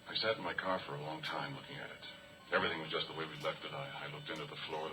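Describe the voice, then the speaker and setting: An actor playing a haunted, frightened oil driller who tells his horror tale in a halting, intense 1940s radio style, in an old radio drama recording. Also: very deep voice, low-quality recording. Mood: haunted, brooding. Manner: halting, intense, swings from hushed whispers to shouts. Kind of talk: 1940s radio horror acting, dramatic first-person monologue.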